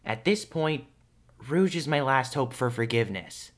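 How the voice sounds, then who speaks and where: Casting: male actor as earnest cartoon hedgehog hero, young, persistent, mid-pitched, a little uneasy. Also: animated, moderate pace, lightly acted. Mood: weary, earnest. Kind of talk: fan audio drama acting.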